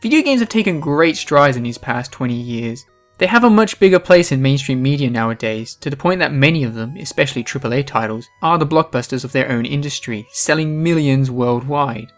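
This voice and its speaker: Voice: mid-range voice, a little fast, soft background music. A video essay host giving scripted, opinionated commentary with an emphatic delivery.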